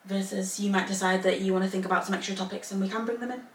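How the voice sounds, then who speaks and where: A woman's voice: mid-range voice, fairly even intonation, racing, slight background noise. A woman born in Zambia and raised in England, co-hosting a casual podcast chat, rambling in long run-ons and laughing often. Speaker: podcast co-host. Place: home room, Northern Ireland. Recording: echoey room, mic at a distance.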